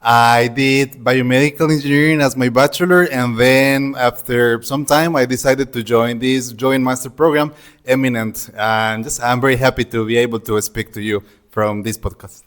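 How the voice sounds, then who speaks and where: Casual Colombian man, medium pitch. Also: steady tone, moderate pace, fairly bright tone. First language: Spanish. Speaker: master's student, podcast guest. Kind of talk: casual self-introduction.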